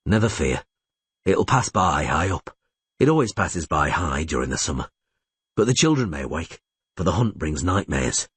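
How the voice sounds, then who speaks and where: A man voicing a grim, weary male monster hunter who speaks tersely and gruffly. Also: a bass voice, big pitch swings, normal speed, fairly bright tone, dry recording, pitched below the performer's own voice, heavily acted. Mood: grave.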